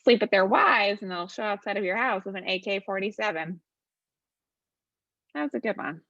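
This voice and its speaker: A woman's voice: medium pitch, normal speed, fairly bright tone, no room sound, lo-fi sound. A woman playing a snarky, casual demon who is proud of corrupting humans, in a theatrical comic monologue. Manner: sardonic, casual, digressive.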